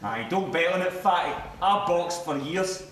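A man's voice: high-pitched, animated, moderate pace, fairly bright tone. A man playing an indignant, needling Scottish football rival who argues with sarcasm and swearing. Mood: argumentative. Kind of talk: heated comic banter. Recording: very echoey, distant room, faint noise.

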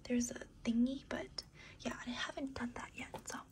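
Raspy whispering young woman. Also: on the high side, level tone, normal speed. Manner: rambling, casual, with many fillers. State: losing her voice. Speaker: ASMR creator. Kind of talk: whispered ASMR desk tour.

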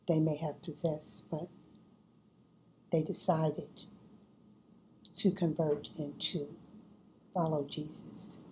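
Older woman, home sermon on webcam, low voice, unhurried with many pauses. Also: warm tone, slight background noise, low-quality recording. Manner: slow and deliberate, pausing often with fillers. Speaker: church speaker. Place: home room, indoors. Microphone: webcam at close range.

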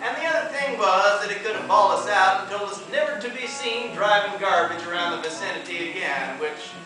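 A young man telling a long comic story in a deadpan drawl while strumming an acoustic guitar on a theater stage. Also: high-pitched, brisk. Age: young adult. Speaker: student performer. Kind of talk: talking-blues storytelling over guitar. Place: theater stage, live audience. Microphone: far camera. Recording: echoey theater from far off.

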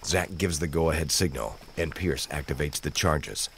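A man's voice: extremely low pitch, normal speed, a little hiss or hum. A man narrating a military thriller audio drama in a grave, scripted storytelling style.

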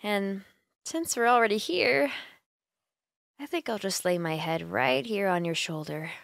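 A woman plays an affectionate young female roommate in an ASMR comfort roleplay. She talks slowly and gently, with plenty of creak and lively swings of pitch, teasing and a little sleepy, very close to the mic. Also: no echo. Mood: sleepy, cosy. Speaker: roleplay voice actor. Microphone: close microphone, dry.

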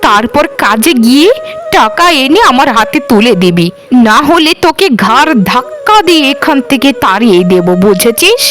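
A cruel aunt from a cartoon , acted by a woman, scolding with open scorn. Her voice sits high and swings widely in pitch as she harangues and mocks the girl under her thumb. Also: big pitch swings, moderate pace, a bit bright. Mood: angry scolding. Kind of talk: Bengali cartoon dialogue.

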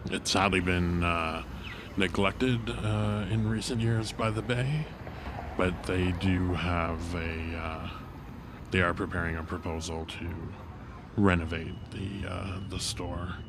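A man narrating a casual walking tour of a city street outdoors, halting with many fillers and restarts. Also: low voice, steady tone. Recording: noisy street traffic behind.

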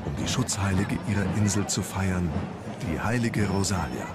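A man's voice: low voice, lively intonation, moderate pace, a loud crowd. A man reading scripted documentary narration as a narrator. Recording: loud music under narration. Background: loud background music.

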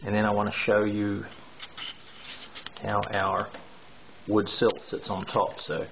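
A middle-aged man with a fairly low voice talks through a renovation walkthrough, pausing often with "um" and "uh" as he explains. He is recorded on a handheld camera mic in a bare brick basement that sounds roomy. The audio is dull and lo-fi with a faint hiss underneath. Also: monotone, creaky. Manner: explanatory, hesitant with fillers. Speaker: renovation contractor. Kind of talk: walkthrough video tour.

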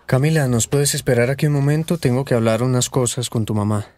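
An actor with a Colombian accent playing a measured, serious male doctor in a radio soap opera. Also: mid-range voice, a little mellow, lightly acted. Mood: calm, professional. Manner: measured, explanatory. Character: calm, serious male gynaecologist.

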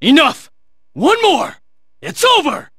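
A man's voice: extremely high pitch, slow, no room sound, clean studio sound, exaggerated voice. An actor playing a cool, resolute teenage swordsman in a fighting video game, speaking in terse, clipped battle lines. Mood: tense, combative. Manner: terse, clipped battle barks and calm taunts.

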